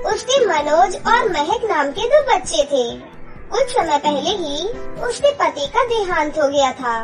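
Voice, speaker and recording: A woman's voice: very high voice, animated, unhurried. A woman reading scripted narration for a children's story. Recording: clear music behind the voice. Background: children's music.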